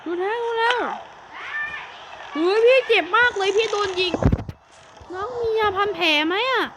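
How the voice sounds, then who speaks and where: Cartoon big brother, hyper and bossy, high chipmunk pitch, voiced by a man, noisy track. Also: unhurried. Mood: excited. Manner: excited, shouting warnings. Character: excitable big-brother gamer, bossy and brave. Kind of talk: cartoon narration. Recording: noisy recording.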